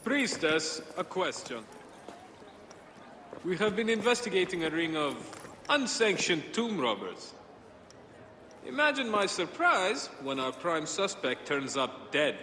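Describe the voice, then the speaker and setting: A slow, probing investigator. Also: male voice, high-pitched, big pitch swings, sharp tone, slight background noise, full character voice. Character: suspicious adult male official, probing investigator. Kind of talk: video game voice acting. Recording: echoey hall.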